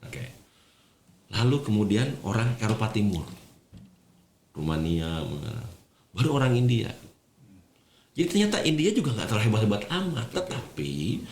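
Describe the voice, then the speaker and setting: A man speaks in a deep voice as an interview guest. He explains conversationally, repeating key phrases and checking that the listener follows. The room is echoey, with faint ambience behind him. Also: big pitch swings, normal speed, long pauses, a little mellow. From Indonesia. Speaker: podcast guest, regional government official. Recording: roomy echo, faint background noise.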